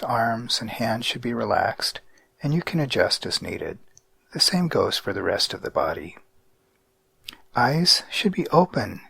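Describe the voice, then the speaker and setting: A man guiding a mindfulness meditation in a calm, measured way with deliberate pauses. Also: medium pitch, vocal fry, slightly rough, dry recording. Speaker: meditation guide.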